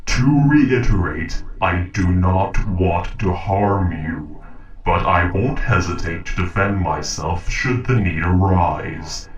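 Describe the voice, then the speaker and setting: Man playing a slow, deep, formal robot. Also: expressive, highs cut off. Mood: calm warning. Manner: formal, measured, precise diction. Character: polite, logical humanoid robot interrogating a captive human. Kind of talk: scripted audio roleplay monologue. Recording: roomy echo, distant from mic.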